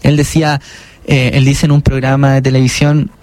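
A man's voice: medium pitch, flat delivery, moderate pace, darkish tone, dry recording. A man from Chile with a Chilean accent, an author being interviewed on the radio, talking thoughtfully with many restarts. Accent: Chilean Spanish. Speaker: author and guest.

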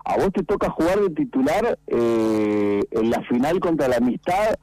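Chatty Argentine radio sports host. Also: male voice, medium pitch, big pitch swings, moderate pace, mellow, dry recording, rough recording. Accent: Argentine Spanish. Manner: chatty, rambling questions with fillers.

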